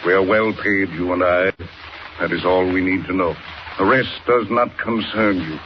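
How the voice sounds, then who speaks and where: An actor playing a cold, secretive professor villain in a 1940s radio serial, commanding, measured and menacing. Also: male voice, low-pitched, lightly acted. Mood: curt, commanding. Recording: dull, noisy old radio recording.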